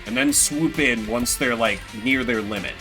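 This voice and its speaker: A man giving casual, animated and sarcastic gameplay commentary, swearing now and then. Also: mid-range voice, moderate pace, over music. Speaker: streamer playing and voicing a game solo.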